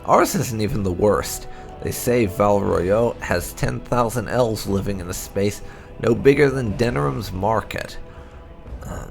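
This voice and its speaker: A man reading fantasy game lore aloud as scripted narration, in a measured, storybook-like delivery. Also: deep voice, gravelly, a little mellow.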